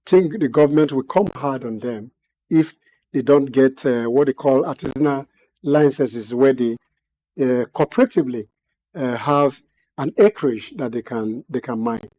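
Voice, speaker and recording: A man gives measured expert commentary in a TV studio. His voice is mellow and dark, his phrasing formal and punctuated by frequent hesitations. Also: mid-range voice. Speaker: guest analyst.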